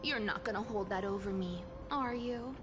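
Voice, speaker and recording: An actress voicing a playful, mischievous female trickster in a game, talking in a teasing way with sudden jumps in pitch. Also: moderate pace, music in the background, close to an everyday voice.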